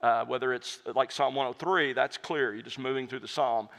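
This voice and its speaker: Pastor fielding questions: man, earnest and reflective, bright voice, long hall reverb. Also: mid-range voice, steady tone, normal speed, creaky. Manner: earnest, reflective, with frequent restarts. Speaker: pastor and hymn writer answering audience questions. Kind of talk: conversational Q&A talk.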